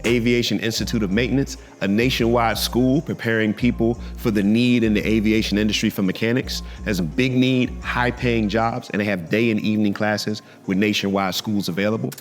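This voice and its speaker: An American man speaking as a podcast host, chatting casually to his listeners. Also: lowish voice. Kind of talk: podcast intro and casual chat.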